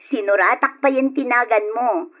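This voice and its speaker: An actress with an Ilocano accent playing an affectionate young daughter in a radio drama. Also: female voice, fairly high pitch, normal speed, fairly bright tone, lightly acted. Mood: cheerful anticipation. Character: affectionate young woman, daughter coming home, later grieving. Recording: dull lo-fi radio recording, music behind.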